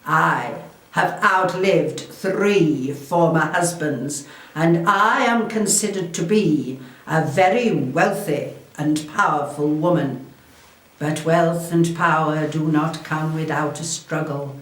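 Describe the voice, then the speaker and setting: A woman playing a proud, shrewd, wealthy Elizabethan noblewoman, delivering a formal, theatrical first-person historical monologue in a deliberate way. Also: low voice. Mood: proud, assured. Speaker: volunteer historical re-enactor. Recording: echoey room, distant microphone.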